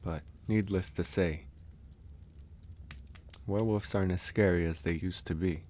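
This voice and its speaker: Some noise sits behind a deep-voiced male werewolf, acted by a man in an ASMR audio roleplay. He speaks very slowly and low, in a calm, easygoing way. His delivery is candid and musing, with sighs and unhurried pauses, as in a close one-to-one talk. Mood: musing, storytelling.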